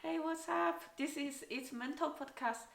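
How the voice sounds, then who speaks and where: Halting woman host with a higher voice. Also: gravelly, crowd noise. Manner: hesitant, halting, giggly. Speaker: podcast host. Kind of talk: casual podcast interview. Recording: echoey room.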